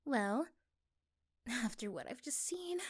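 A woman playing an affectionate, curious girlfriend in an ASMR roleplay, speaking gently and haltingly. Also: fairly high pitch, animated, husky, heavily acted. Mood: hesitant, curious.

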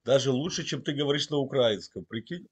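A middle-aged man at a middle pitch talks over a webcam from a room at home. He stays calm and teasing, needling the stranger with dry questions as the host of the chat. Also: fairly quick, breathy edge. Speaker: video chat show host. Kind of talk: provoking chat-roulette talk. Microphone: webcam on a video call.

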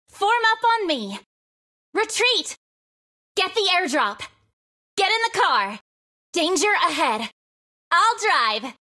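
A woman's voice: very high voice, bright, forceful tone, exaggerated voice. A woman playing an eager young female soldier, calling short emphatic commands as a video game voice. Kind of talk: video game voice pack callouts.

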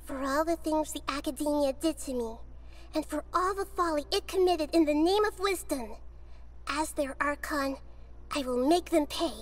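Woman doing a little kid goddess from a video game, super high, gentle, thoughtful, kinda formal. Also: lightly acted. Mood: solemn resolve. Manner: thoughtful, measured, formal phrasing. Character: gentle childlike female god, wise and earnest. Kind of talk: scripted video game acting.

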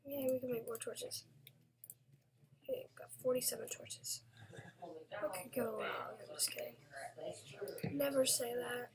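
A woman whispering her way through a block-building game, talking softly to herself and sometimes breaking into a playful sing-song chant. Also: a little high, steady tone, unhurried, long pauses. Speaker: gaming video creator. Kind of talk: whispered gameplay commentary.

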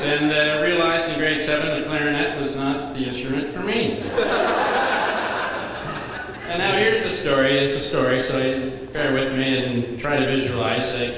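A man in his thirties giving a best man's toast at a wedding reception, telling comic stories in a dry, deadpan way at a podium microphone. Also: fairly high pitch. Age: 30s. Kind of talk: wedding toast read from notes. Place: large banquet hall at a wedding reception. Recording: echoey banquet hall with reverb. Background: guests laughing.

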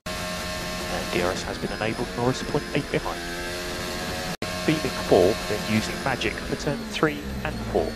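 A man's voice: lowish voice, lively intonation, moderate pace, pauses often. A man working as a race engineer, giving terse, matter-of-fact instructions over motor racing team radio. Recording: noisy car radio transmission.

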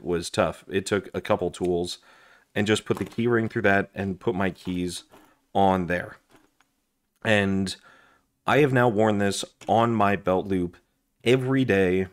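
A man who is hoarse and losing his voice, chatting casually with lots of fillers while he reviews his gear at a desk indoors. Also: on the low side. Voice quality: raspy. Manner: chatty, rambling, with frequent fillers and restarts. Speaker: gear review channel host. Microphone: overhead camera on desk, hands only.

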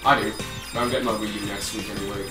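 A teenage boy hosting a let's play with his brother, chatty and quick to laugh. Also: lowish voice. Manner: chatty, laughs easily, lively. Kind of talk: casual let's play banter. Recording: roomy, with game music.

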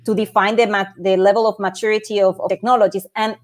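A woman with a Puerto Rican Spanish accent speaks on a video call from a home office. Her voice sits at an ordinary pitch for a woman. She talks earnestly and keeps restarting and repeating words as she goes. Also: flat delivery, fast. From Puerto Rico. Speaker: panel guest, space project manager. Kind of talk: personal career story on a panel.

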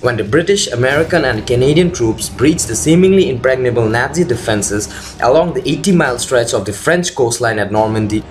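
A man's voice: mid-range voice, animated, brisk. A man reading scripted documentary narration as the host of a video. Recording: loud music under the voice. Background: loud background music.

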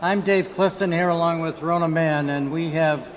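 A man's voice: fairly high pitch, steady tone, moderate pace, dull audio. An older man with a Boston accent hosting a live radio show, talking upbeat like an announcer with many fillers, at a desk microphone. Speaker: radio host. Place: conference hall, indoors. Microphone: desk microphone, headphones.

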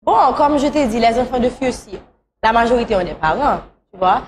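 A woman's voice: on the high side, lively intonation, fairly quick, a little hiss or hum. A woman, speaking conversationally as a guest in a talk show interview. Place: small talk show studio, on a couch.

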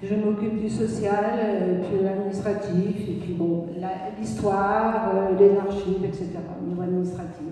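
Brief: dark-toned woman, chatty deputy mayor, distant mic in a reverberant hall. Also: medium pitch, fairly even intonation, normal speed, hardly any pauses. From northern France. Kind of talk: welcome speech. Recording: echoey hall from far off.

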